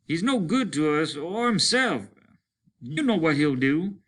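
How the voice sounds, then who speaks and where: A man playing a hardened soldier at the end of his rope in a storybook reading. The voice is raised and strained, with a bright, hard edge. He speaks bluntly, with bitter fatalism. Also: a little high, sing-song, a little slow, voice pushed up, exaggerated voice. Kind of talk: dramatic storybook character reading.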